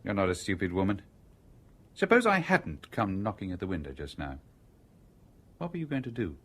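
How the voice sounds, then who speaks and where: An actor with a British accent playing an inquisitive, composed engineer in a radio drama, urbane and conversational. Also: male voice, sits low, wildly swooping pitch, moderate pace, a bit bright, slightly rough, exaggerated voice. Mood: probing. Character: inquisitive, coolly composed stranger, a male engineer.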